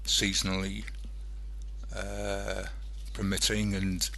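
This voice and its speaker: A man hosting an internet radio show in a casual, rambling monologue, halting and hesitant as he thinks aloud. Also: low voice, monotone, vocal fry, sharp tone, a little hiss or hum.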